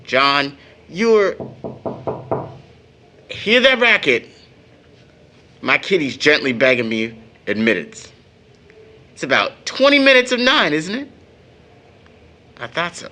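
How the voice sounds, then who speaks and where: A man playing a hesitant, polite young man in an audio drama, with halting speech. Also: a little high, sing-song, sharp tone, slight background noise, heavily acted. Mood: playful.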